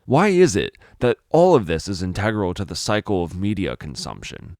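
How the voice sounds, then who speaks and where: Very deep-voiced man narrating fiction. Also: huge pitch swings, moderate pace, darkish tone, dry recording, clean studio sound. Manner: brooding, theatrical delivery with rhetorical questions. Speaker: storyteller. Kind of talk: dramatic first-person fiction narration.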